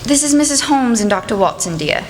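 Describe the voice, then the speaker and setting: A woman's voice: a little high, lively intonation, brisk, natural voice. A woman playing a bossy, grumbling housekeeper at a village inn in a radio play, with a brusque manner. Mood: matter-of-fact. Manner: brusque, scolding. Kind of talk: amateur radio play acting. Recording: echoey hall, some background noise.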